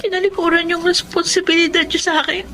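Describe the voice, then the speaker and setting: A wounded, resentful Filipino teenage girl in a radio drama. Her very high voice is full of grievance, over clearly audible music. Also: level tone, quick pace. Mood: bitter accusing. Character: hurt, resentful teenage daughter abandoned by her mother.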